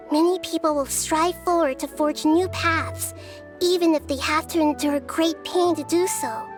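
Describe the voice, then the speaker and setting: Game role: a woman as a wise little girl goddess, high-pitched, earnest and gentle, measured delivery, music underneath. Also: lightly acted. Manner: measured, thoughtful, articulate, soft-spoken. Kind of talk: scripted video game dialogue.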